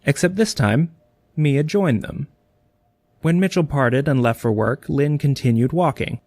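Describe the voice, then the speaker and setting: A man's voice: fairly high pitch, unhurried, a little mellow, no echo. Male audio drama narrator, dry sound.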